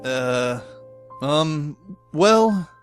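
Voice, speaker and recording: A man's voice: fairly high pitch, expressive, extremely slow. A man playing a sardonic, exasperated teenage schoolboy who narrates and acts his own story in an amateur audio drama, wordy and dry. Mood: evasive. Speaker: narrator and lead character. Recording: faint music behind the voice. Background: faint music.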